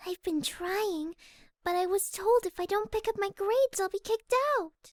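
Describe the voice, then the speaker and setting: A woman voicing a shy, gentle young female pegasus pony in a fan audio drama, timid, hesitant and soft-spoken. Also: very high voice, unhurried, no echo, studio quality. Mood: timid, apologetic.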